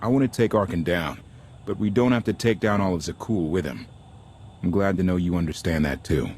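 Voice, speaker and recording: A man's voice: deep voice, fairly quick, darkish tone, a little hiss or hum, natural voice. A man playing an earnest, idealistic deserter warship captain in a video game, talking in a casual, sincere way. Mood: sober, sincere.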